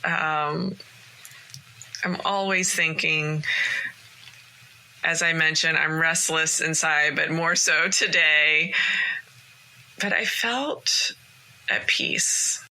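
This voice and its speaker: Woman podcast host, slow and gentle, bright tone, recorded in a home closet. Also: low voice, low-quality recording. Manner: calm, gentle, encouraging. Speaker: podcast host and life coach. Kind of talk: casual solo podcast monologue.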